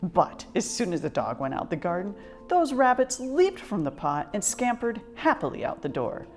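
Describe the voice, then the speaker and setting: A woman reading a children's picture book aloud in an expressive scripted storytelling style. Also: mid-range voice, moderate pace, faint music behind. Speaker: storybook reader.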